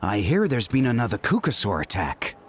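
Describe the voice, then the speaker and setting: A man's voice: on the low side, huge pitch swings, brisk, faint music behind. An actor voicing an awkward, hesitant tribesman in a video game. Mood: uneasy, questioning.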